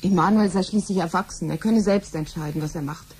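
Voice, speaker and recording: A woman's voice: fairly low pitch, a little fast, a little mellow, slightly rough. An actress playing a pragmatic, conspiring middle-aged wife and mother in a science-fiction radio play. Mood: matter-of-fact.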